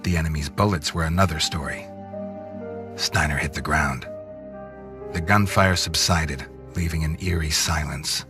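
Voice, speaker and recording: An actor playing a grim half-vampire antihero who narrates a video game in a terse, deadpan, noir-like way. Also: male voice, rumbling low, normal speed, music in the background. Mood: grim, recounting.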